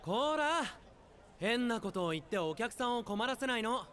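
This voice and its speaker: An actor playing a cool, gentle young male knight in an anime voice drama, friendly, unruffled and lightly teasing. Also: very high-pitched, very animated, moderate pace, clean tone, full character voice. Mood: easygoing, friendly.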